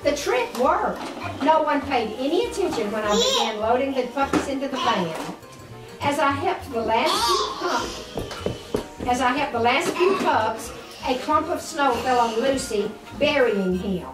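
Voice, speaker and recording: A woman's voice: high voice, very animated, unhurried. An older woman reads a storybook aloud to a small child, expressively and with little explanatory asides. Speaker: grandmother reading to a child. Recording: roomy, distant mic, music behind. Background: music.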